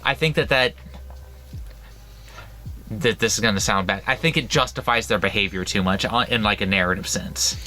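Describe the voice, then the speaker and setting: A man's voice: lowish voice, moderate pace, long pauses, sharp tone. A man co-hosting a horror book podcast, chatting casually with many restarts and frequent laughs. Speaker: podcast co-host. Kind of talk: casual chat.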